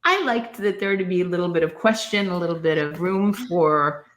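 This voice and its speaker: A woman's voice: on the low side, normal speed. A middle-aged woman co-hosting a podcast, discussing literature casually in an emphatic, opinionated way that wanders into tangents. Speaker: podcast co-host.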